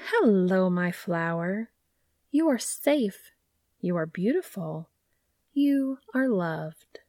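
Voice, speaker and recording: A woman's voice: mid-range voice, animated, takes it slowly, mellow, no echo, studio quality. A woman voicing a loving, nurturing female gardener in a storybook, speaking tenderly and soothingly. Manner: tender, lulling. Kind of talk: storybook character reading.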